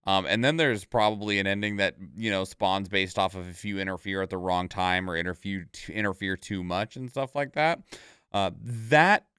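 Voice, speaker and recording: A man's voice: low voice, moderate pace, bright, forceful tone, smooth, dry recording, studio quality. A man giving casual, off-the-cuff reaction commentary as a streamer, rambling and thinking aloud in stop-and-start phrases. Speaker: video creator and streamer reacting to game trailers.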